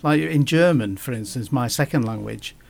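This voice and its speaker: Male podcast guest, gravelly voice, hesitant delivery with frequent restarts. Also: mid-range voice. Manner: halting, many restarts and fillers. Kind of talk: casual podcast conversation.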